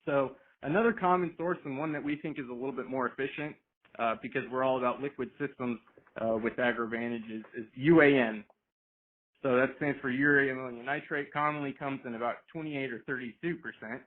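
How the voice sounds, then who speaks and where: A man explaining things informally as a product specialist, halting and often repeating his words. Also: medium pitch, fairly even intonation. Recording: tinny, noisy phone conference line.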